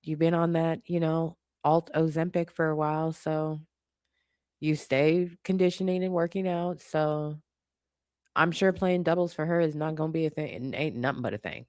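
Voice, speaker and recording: The sassy, opinionated voice you would hear on a casual livestream, answering the chat in a colloquial way from a dry room, at a middling pitch. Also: fairly even intonation, normal speed, a little mellow, clean tone, no echo. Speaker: livestream host chatting with viewers. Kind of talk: casual unscripted chat, reacting to comments.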